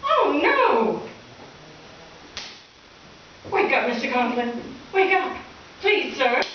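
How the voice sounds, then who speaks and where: A woman's voice: high voice, sing-song, takes it slowly, heavily acted. A woman playing a wry, exasperated schoolteacher with theatrical delivery in a comic live radio play. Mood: alarmed. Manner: dry sarcasm, theatrical alarm. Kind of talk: comic old-time radio play acting. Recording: echoey hall from far off, dull, hissy.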